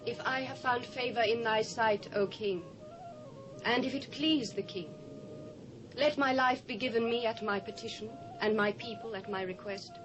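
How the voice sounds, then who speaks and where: A woman's voice: big pitch swings, normal speed, music in the background, low-quality recording. A British woman playing a dignified queen who entreats formally and earnestly in a scripture audio drama. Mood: pleading. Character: earnest, dignified young queen pleading for her people. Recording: faint noise and rumble, old cassette.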